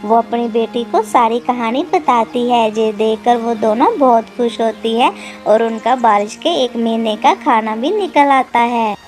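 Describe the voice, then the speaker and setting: A woman reading scripted narration for a children's animated story. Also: on the high side, measured pace, hardly any pauses, faint music behind.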